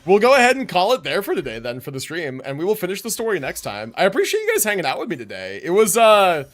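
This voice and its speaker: A man's voice: sits high, huge pitch swings, fast talker, a bit bright. A young man chatting casually to his livestream viewers as a game streamer, informal and rambling, with free swearing.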